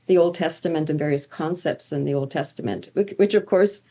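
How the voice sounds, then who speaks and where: A middle-aged woman from Sweden speaks as a seminary professor in an interview. Her voice sits on the low side. She answers earnestly, with plenty of fillers and restarts as she gathers her thoughts. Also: a little fast, warm tone. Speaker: seminary professor of Old Testament.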